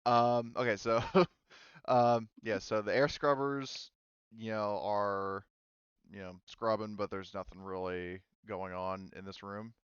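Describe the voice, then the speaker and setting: A low-pitched man with a smooth, clean tone narrates a tabletop game off the cuff. He is informal and halting, with some swearing, on a dry, slightly dull recording. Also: fairly even intonation, dry recording. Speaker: game master of a tabletop roleplaying game. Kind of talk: casual improvised game narration and table chat.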